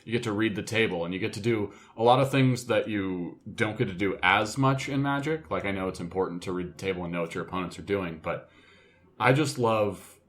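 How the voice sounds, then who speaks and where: Low-voiced man bantering on a podcast. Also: fairly quick. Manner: joking, slangy. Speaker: podcast co-host. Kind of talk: casual chat. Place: indoors in a dining room at home.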